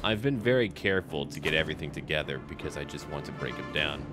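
A man's voice: very deep voice, fast talker, over music. A man giving let's play commentary on a strategy game, talking to himself and addressing his characters as their ruler. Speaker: video game player and narrator.

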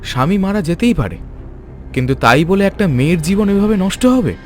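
A man voicing a principled, kindly scholar and reformer in a cartoon, speaking in an earnest, measured, resolute way. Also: high-pitched, sing-song, faint music behind. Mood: troubled, resolute. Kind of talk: dramatic cartoon voice acting.

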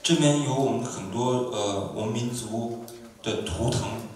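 A man from Inner Mongolia, a visiting musician, answering audience questions in a lively, joking way, in a lecture room. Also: mid-range voice, a little slow, a crowd in the background. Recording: echoey room, distant mic.

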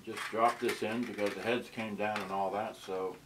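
Casting: man, 60s, slightly deep voice, halting off-the-cuff tinkerer muttering through a demo, light background noise. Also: fairly even intonation. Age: older, 60s. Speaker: vintage boombox collector presenting his channel. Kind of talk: casual unscripted demonstration. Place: cluttered indoor storage room. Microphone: camera microphone, tripod then handheld.

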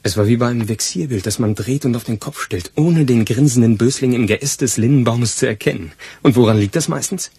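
A man's voice: mid-range voice, a little fast, vocal fry, few pauses, dark tone, slightly rough. An actor playing an eager, deferential police detective who spins wordy theories to his boss in a German radio crime play. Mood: probing.

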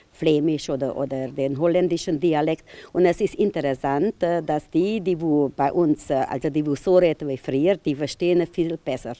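A middle-aged woman interviewed outdoors, answering with many pauses, filler sounds and restarts into a handheld mic. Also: deep voice, dark tone, no echo. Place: outdoors in a village street. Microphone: handheld reporter mic.

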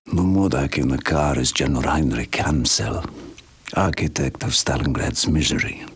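A fervent Soviet sniper sergeant from a war video game. His Russian-accented voice is husky and gravelly, swinging widely in pitch. He speaks with slow, theatrical weight and commanding intensity. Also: exaggerated voice. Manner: dramatic, deliberate, theatrical delivery. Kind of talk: video game character acting.

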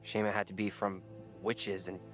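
A man's voice: fairly low pitch, level tone, moderate pace, soft background music, lightly acted. An actor playing a pushy young man in a horror game, talking in casual, slangy, urgent phrases. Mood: earnest. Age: young adult. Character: blunt, pushy college-age guy in a horror game. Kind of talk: video game cutscene drama.

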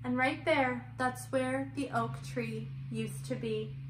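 A young woman reading and discussing a picture book for small children, in an expressive, questioning teacher's manner. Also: sits high, slow, background noise. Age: young adult. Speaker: children's story-time teacher. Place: room at home, indoors. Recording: roomy echo, music underneath.

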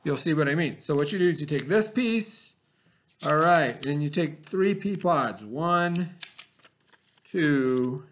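A man in his forties, a teacher, giving slow step-by-step craft instructions to children from a classroom webcam. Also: a little high, animated, a bit bright, slight background noise. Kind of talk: remote classroom craft lesson. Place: classroom, indoors. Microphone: webcam at desk.

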